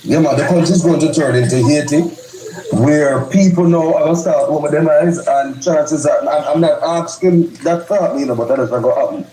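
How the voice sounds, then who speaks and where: A man's voice: on the high side, fairly quick. A man speaking with a Jamaican patois accent, a guest on a live stream, emphatic and insistent. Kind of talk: casual live-stream chat. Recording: roomy, with crowd noise behind.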